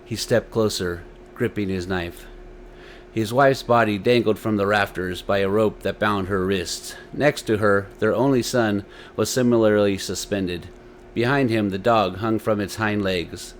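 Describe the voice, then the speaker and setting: A man's voice: fairly low pitch, fairly even intonation, measured pace, slight background noise. A man hosting a story series and narrating tales dramatically into a desktop microphone. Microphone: desktop USB microphone.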